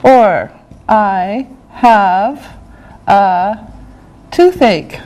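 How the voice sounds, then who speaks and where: A woman teaching a classroom vocabulary lesson, saying each phrase slowly and repeating it. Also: mid-range voice, expressive, darkish tone, poor audio. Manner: slow, drawn-out repetition of words. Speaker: English teacher.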